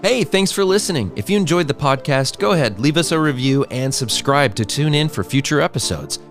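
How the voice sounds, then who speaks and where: A man reads the closing lines of a podcast with a quick, promotional delivery. Soft music plays underneath him. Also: fairly high pitch, lively intonation. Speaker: podcast outro announcer. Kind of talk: scripted outro read.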